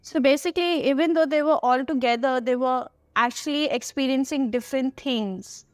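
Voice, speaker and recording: High-voiced, inquisitive woman. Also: moderate pace, dry recording. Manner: inquisitive, recaps what she hears. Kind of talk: casual chat, questioning.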